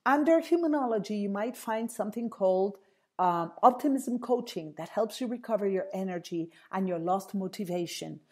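A woman hosting a solo radio talk show, hoarse and husky, earnestly explaining and repeating key points for listeners. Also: medium pitch, expressive, normal speed, darkish tone. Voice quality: husky, strained voice.

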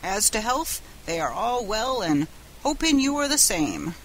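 A woman's voice: mid-range voice, sing-song, a little slow, slight background noise, rough recording. A woman voicing a stubborn, sardonic Irishman working as an express agent, with a folksy, dogged way of talking. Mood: indignant, dogged.

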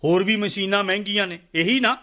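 An actor playing a persuasive, good-humored Punjabi farm adviser in a radio drama, insistent and jovial. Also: male voice, high voice, fast, sharp tone.

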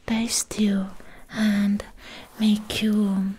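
Breathy, whispering seductive vampire woman, acted by a woman. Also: mid-range voice, flat delivery, a little mellow. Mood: menacing, seductive. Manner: slow, teasing, intimate delivery with drawn-out words. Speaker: ASMR roleplay performer. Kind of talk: soft whispered ASMR roleplay. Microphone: close mic.

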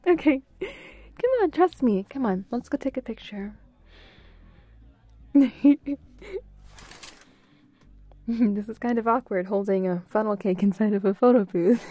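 Woman acting as a bubbly, high-voiced girlfriend. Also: no echo. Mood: playful, excited. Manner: giggly, breaks into short excited repeats. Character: bubbly, playful, affectionate young girlfriend on a date. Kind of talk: girlfriend roleplay audio, intimate second-person acting.

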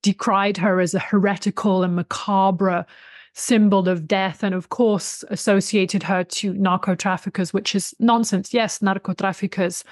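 A woman's voice: medium pitch, steady tone, measured pace, no room sound. Emphatic woman, close dry mic. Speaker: interview guest, author and expert on folk spirituality. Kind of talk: conversational interview explanation. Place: small indoor room with bookshelves, video call. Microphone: desktop USB condenser microphone, close.

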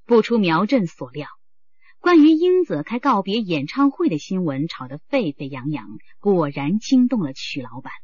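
A woman's voice: on the low side, very animated, normal speed, a little mellow, husky, no echo, lo-fi sound. A woman narrating a radio drama in a scripted, dramatic style.

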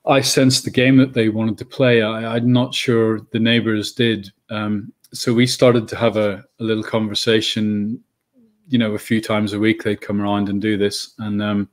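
A middle-aged man with a Northern Irish accent, chatting as a guest on a video call from home, often pausing with ums and restarting his sentences. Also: on the low side. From Northern Ireland. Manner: hesitant, many ums and restarts. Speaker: guest, children's book author. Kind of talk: casual interview chat. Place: home room.

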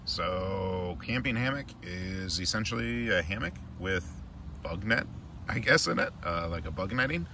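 A man in his thirties reviewing a camping hammock in a relaxed, chatty way with many fillers, recorded outdoors in the woods. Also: low-pitched, unhurried. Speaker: channel host reviewing gear. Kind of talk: casual product review. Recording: distant outdoor camera mic, light noise.

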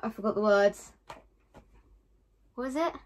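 A woman's voice: mid-range voice, a little slow, a little mellow. A young adult woman vlogging in casual chat, recorded by a camera a short distance away in a small bedroom. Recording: noisy homemade bedroom camera audio.

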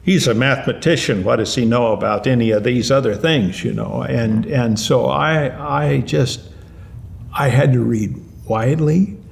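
Older man with a gravelly voice. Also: medium pitch, moderate pace. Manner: animated, with frequent restarts and repeated words. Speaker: retired mathematics professor. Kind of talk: reflective interview answers. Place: lounge-like room with armchairs and lamps, indoors.

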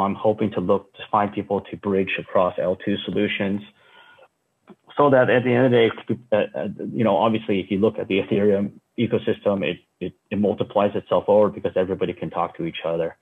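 A man's voice: lowish voice, steady tone, slightly rough. Man on a tinny video call. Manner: halting, many fillers and restarts. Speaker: company founder giving a keynote. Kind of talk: casual unscripted talk. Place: room at home. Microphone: earbuds on a video call. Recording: tinny phone-like call audio.

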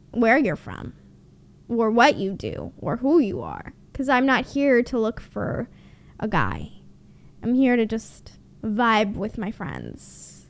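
A young woman with a high voice talks close into a studio microphone in a small room at home. She tells a story as a podcast host, casual and blunt, with dry sarcasm and some swearing. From Canada. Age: young adult. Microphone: studio mic on boom arm, close.

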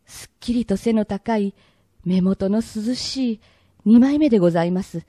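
A woman narrator with a very bright, forward voice in a dry recording. She tells a period radio drama formally, in measured, old-fashioned polite phrasing. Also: medium pitch, no room sound.

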